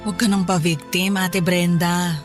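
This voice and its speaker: A woman's voice: fairly low pitch, flat delivery, normal speed, faint music behind, natural voice. A woman acting a sly, brazen young mistress. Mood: smug, defiant. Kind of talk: Filipino radio drama acting.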